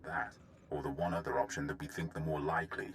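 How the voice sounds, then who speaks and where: An actor playing a grave, cautious military officer in a video game, speaking in a formal, measured way. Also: male voice, very deep voice, fairly even intonation, fairly bright tone, a little hiss or hum. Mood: cautious, advising. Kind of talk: scripted game dialogue.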